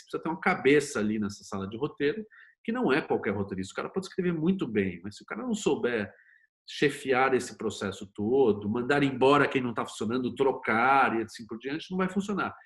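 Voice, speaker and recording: A man's voice: mid-range voice, expressive, a little fast, fairly bright tone. A Brazilian man speaking as an interview guest, explaining things informally and thinking aloud in a conversational way. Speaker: interview guest, screenwriter and series producer.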